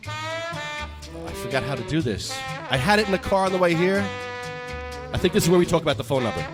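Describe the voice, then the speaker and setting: Man, podcast co-host, very lively pitch, casual talk, music behind him. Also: a little fast. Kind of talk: casual podcast chat and live ad read.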